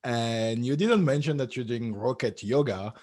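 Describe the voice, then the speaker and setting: A man hosting an interview in an upbeat way, with a sing-song greeting and some halting restarts. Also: mid-range voice, a little mellow, no echo, clean studio sound. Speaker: interview host. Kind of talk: online interview.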